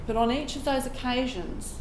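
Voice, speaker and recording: Hoarse Australian woman telling a personal story. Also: sits high, moderate pace, roomy sound, noisy background. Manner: earnest, reflective, frequent throat clearing. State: hoarse from a cold. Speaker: open mic storyteller. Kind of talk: personal story told to a live audience. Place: room with a live audience.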